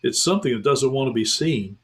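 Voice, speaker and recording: A man voicing a boy who leads his siblings, steady and decisive. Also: medium pitch, fairly quick, lightly acted. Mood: cautious. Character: eldest brother, a boy taking the lead.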